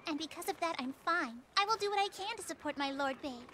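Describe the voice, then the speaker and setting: An actress playing a devoted, spirited young warrior princess in a video game, speaking earnestly and tenderly. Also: female voice, very high-pitched, expressive, quick pace, pushed and bright, slight background noise, heavily acted. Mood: tender, resolute. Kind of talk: English-dubbed video game cutscene acting.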